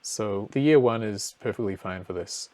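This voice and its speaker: A man's voice: fairly low pitch, moderate pace, a little mellow, no echo. New man explaining over dry audio. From New Zealand. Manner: casual, explanatory, thinking aloud with fillers. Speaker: presenter. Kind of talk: screen-share astronomy explainer.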